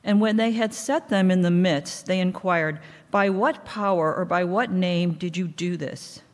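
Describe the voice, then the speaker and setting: A middle-aged woman reading Bible lessons aloud at a lectern in a church, in a measured, steady cadence. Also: on the low side. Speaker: lay scripture reader. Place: church sanctuary, indoors. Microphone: microphone on a stand at a lectern. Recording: long church hall reverb.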